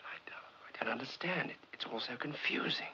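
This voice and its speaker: An actor playing a suspicious, high-strung male scientist, tense and clipped in 1950s film style. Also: brisk, bright, forceful tone, whispered. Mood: shaken, confiding. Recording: dull, noisy 1950s film soundtrack.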